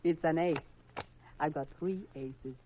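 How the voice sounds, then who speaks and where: A woman's voice: low-pitched, unhurried. A woman acting a coy, teasing, thrill-seeking gambler, a femme fatale in a 1950s radio mystery. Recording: muffled old radio recording.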